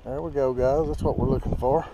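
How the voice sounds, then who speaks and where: A man's voice: mid-range voice, steady tone, fairly quick, muffled recording, noisy background. A man, talking folksy and casual to his viewers while fishing outdoors on the water. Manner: folksy, talks straight to viewers. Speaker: fishing vlogger.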